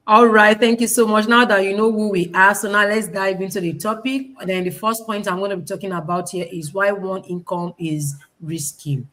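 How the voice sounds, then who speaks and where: A woman's voice: medium pitch, fairly even intonation, moderate pace, hardly any pauses, a bit bright. A woman presenting informally online, emphatic, repeating phrases and asking rhetorical questions. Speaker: online presenter, business owner and educator.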